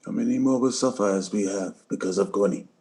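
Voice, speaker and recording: A man reading the part of a resolute, sorrowful soldier bent on revenge, in a quiet, deliberate, grave way. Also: on the low side, gravelly. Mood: bitter grief. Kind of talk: dramatic script table read.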